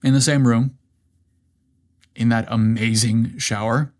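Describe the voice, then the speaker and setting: A man's voice: mid-range voice, fairly even intonation, takes it slowly, darkish tone, close to an everyday voice. A man voicing a loyal, earnest young best friend with a casual manner in a narrated story. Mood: thrilled.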